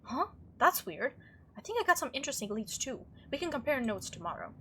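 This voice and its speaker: A woman voicing a friendly, caring young woman in a visual novel, speaking with gentle concern. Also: sits high, sing-song, a little fast, noisy background. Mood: concerned, reassuring. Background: faint game music.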